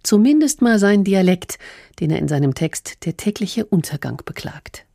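A woman's voice: medium pitch, very animated, normal speed, vocal fry, mellow, dry recording, studio quality. A woman presenting a culture magazine on the radio from a script.

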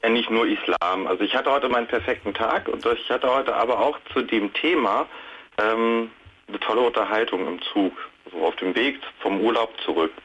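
A man in his late thirties speaks on a radio phone-in in a fairly low voice. He is reflective and conciliatory. The phone sound is dull, with faint noise behind it. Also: normal speed, fairly bright tone. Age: late 30s. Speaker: radio show caller. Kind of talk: casual reflective phone-in talk.

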